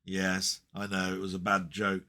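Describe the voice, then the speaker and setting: A man talking casually to camera in a rambling personal vlog. His voice is bright and forward. He meanders from point to point, dropping in ums and poking fun at his own jokes. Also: sits low, monotone, normal speed. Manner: chatty and meandering, with self-deprecating jokes and frequent ums. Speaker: retro gaming vlogger.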